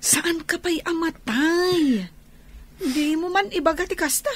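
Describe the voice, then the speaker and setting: An actress playing a whiny, defiant and emotional young runaway daughter in a radio drama. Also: female voice, very high voice, measured pace. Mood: worried. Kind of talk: Filipino radio drama acting. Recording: music behind the voice.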